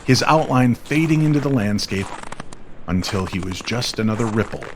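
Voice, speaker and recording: A man reading a scripted story in an old-time radio style, measured and unhurried with dramatic pauses. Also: fairly low pitch, animated, background noise. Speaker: co-narrator of audio story. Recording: faint music under the voice. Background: soft music bed.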